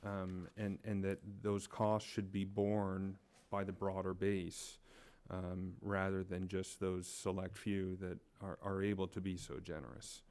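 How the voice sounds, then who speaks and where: A man with a very low, gravelly voice talks at a town board meeting. He keeps an even, flat tone and chooses his words with care, pausing on fillers. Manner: measured, careful, some fillers. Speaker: board member. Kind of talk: public meeting discussion.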